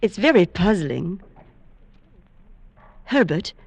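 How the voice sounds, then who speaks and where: A woman's voice: fairly low pitch, very animated, takes it slowly, vocal fry, no room sound, exaggerated voice. A woman acting as a playful, innocent, curious young bride in a vintage radio drama, coy and affectionate. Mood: puzzled, uneasy.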